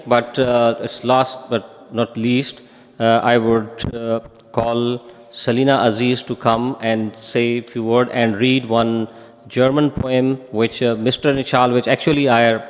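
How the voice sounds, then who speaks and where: A mid-pitched man acts as moderator, introducing the next speaker in a hesitant way. His voice carries a long hall reverb. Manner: hesitant, many "uh"s. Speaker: event moderator. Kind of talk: announcing speakers.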